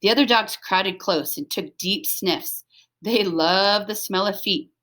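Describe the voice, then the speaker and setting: A woman's voice: mid-range voice, measured pace, a bit bright. A woman reading a children's story aloud as scripted narration, expressively, with dramatic emphasis. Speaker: storybook reader.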